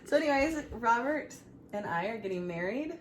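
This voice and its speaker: A woman in her thirties telling a personal story in a casual, chatty, animated way. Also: on the high side, normal speed. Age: 30s. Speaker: vlog co-host. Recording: clearly noisy room ambience.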